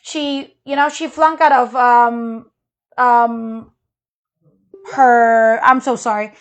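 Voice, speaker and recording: A woman's voice: on the high side, fairly even intonation, slow pace, clear, resonant tone, highs cut off. A woman, co-hosting a casual parenting chat and telling personal stories earnestly. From Malaysia. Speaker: co-host, parent.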